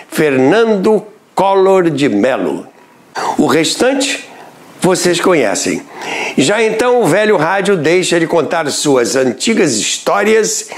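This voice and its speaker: A man hosting a radio history show, giving measured, storytelling documentary narration. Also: a little high. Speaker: radio history podcast host.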